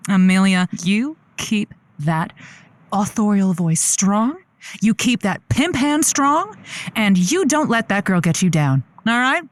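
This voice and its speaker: A woman playing a sympathetic, encouraging radio advice host on a comedy show, speaking in a deliberate, wry, supportive way. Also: mid-range voice, expressive, dry recording.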